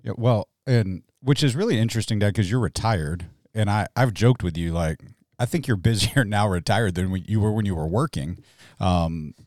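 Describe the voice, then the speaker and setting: A pastor in his forties hosts a casual talk with a relaxed, joking manner. His voice sits low, and the sound is dry and close-miked. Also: normal speed, no echo. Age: 40s. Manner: chatty, joking, full of fillers. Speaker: pastor and podcast host. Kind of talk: casual podcast conversation.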